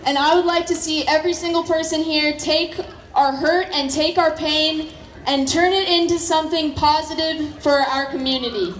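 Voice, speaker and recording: A teenage girl giving an earnest, heartfelt speech at an outdoor school protest, raising her voice to a shout. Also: high voice, fairly even intonation, moderate pace. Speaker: student speaker at a protest. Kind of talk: emotional rally speech. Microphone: phone camera in the crowd. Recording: phone recording of a noisy echoey crowd.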